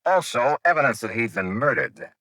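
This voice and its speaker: An actor playing a shrewd, brisk insurance investigator in an American 1950s radio detective drama, clipped and self-assured. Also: male voice, mid-range voice, lively intonation, pushed and bright, dry recording. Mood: confident, accusing. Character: shrewd, brisk male insurance investigator narrating his case. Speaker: lead actor and narrator.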